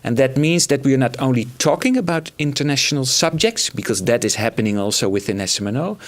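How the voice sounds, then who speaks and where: Man answering with careful pauses. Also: medium pitch, expressive. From Netherlands. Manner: hesitant, measured, many fillers. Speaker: program manager. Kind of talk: answering questions on a panel.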